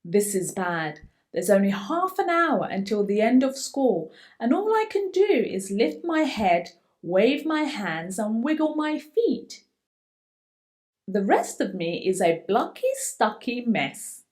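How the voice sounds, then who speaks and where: A woman reads a children's story in a high voice. Her delivery is slow and highly animated, with theatrical emphasis. She stretches out key words as she tells the tale. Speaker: children's book reader. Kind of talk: expressive picture-book read-aloud, voicing a young boy's first-person story.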